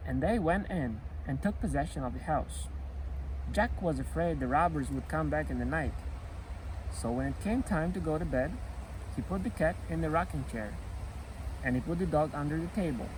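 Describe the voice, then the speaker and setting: Man telling children's tales, very lively intonation. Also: on the high side, background noise. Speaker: storyteller reading a folk tale. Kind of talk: scripted children's storytelling narration.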